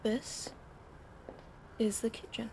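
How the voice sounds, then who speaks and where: A woman whispering an ASMR roleplay in a soft, soothing, coddling way over an audible fan hum. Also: slow.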